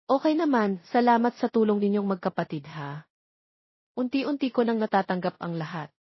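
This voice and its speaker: A sweet, cheerful young woman from an audio drama, played by a female narrator. She is girlish, affectionate and expressive, lifted above a plain reading voice, in a dry recording. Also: mid-range voice, moderate pace, husky, no room sound, dull audio. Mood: grateful.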